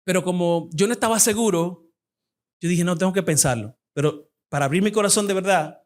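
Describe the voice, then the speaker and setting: A young man with a Dominican Spanish accent, a guest speaker giving a fervent church appeal and personal testimony. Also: high-pitched. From Dominican Republic. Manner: fervent, fast-talking preacher.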